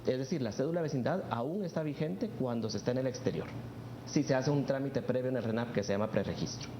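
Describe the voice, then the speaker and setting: A man's voice: medium pitch, expressive, quick pace. Man in roomy, noisy interview audio. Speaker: studio guest commentator on migration policy. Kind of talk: explanatory interview answer. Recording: dull, roomy, clearly noisy sound.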